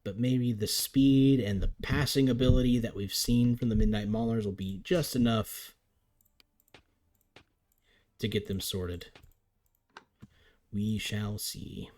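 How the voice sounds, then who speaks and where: A man with a lowish voice calls a game live, chatty and upbeat as he runs through plays. His voice is turning a little raspy from long talking. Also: fairly even intonation, slow pace, long pauses, darkish tone. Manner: chatty, upbeat play-by-play with frequent restarts. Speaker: league commentator.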